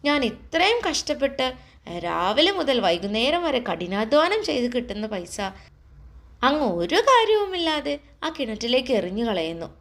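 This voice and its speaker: Storybook grown son played by a woman, aggrieved and complaining, very expressive pitch. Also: normal speed, heavily acted. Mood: indignant. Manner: complaining, indignant. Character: frustrated grown son protesting to his father.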